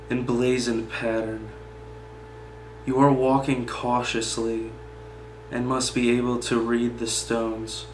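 Slow, even-toned young man in echoey room. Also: medium pitch. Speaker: poetry reader. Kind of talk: poem reading, then informal personal commentary. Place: small indoor meeting room, seated at table. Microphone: camera mic a few feet away. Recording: roomy echo with faint background hum.

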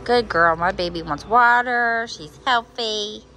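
A woman's voice: medium pitch, a little slow, pushed and bright, faint music behind. A young woman vlogging casually with her kids, chatty and laughing often, filming on a phone held at arm's length. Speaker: vlogger mom. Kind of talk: casual vlog chat. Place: car, parking lot and mall play area. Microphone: phone camera held at arm's length.

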